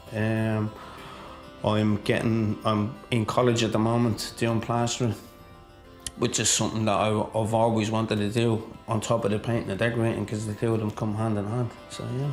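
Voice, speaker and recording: A man from inner-city Dublin with a thick Irish accent, giving an informal personal account as a client of a charity. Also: on the low side. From Dublin, Ireland. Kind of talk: informal personal testimony to camera.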